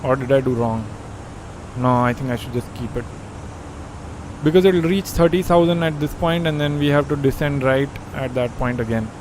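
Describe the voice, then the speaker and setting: A man's voice: medium pitch, moderate pace, background noise. A young man from India with an Indian accent, chatting casually and joking with viewers while thinking aloud during a live stream.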